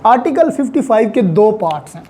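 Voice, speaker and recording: A law lecturer with a Pakistani accent explains terms deliberately, repeating them and mixing in English legal vocabulary. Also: medium pitch, big pitch swings, dark tone.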